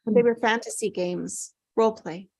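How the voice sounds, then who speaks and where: Actress as halting, grieving older mother, close dry sound. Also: fairly high pitch, gravelly, a little mellow, dry recording. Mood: pained, guarded. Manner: halting, searching for words. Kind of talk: scripted drama table read.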